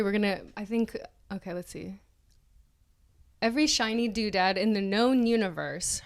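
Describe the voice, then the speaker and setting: Woman podcast host reading an article out loud all mocking and dramatic, medium pitch, chatty asides. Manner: mocking, breaks into laughter while reading.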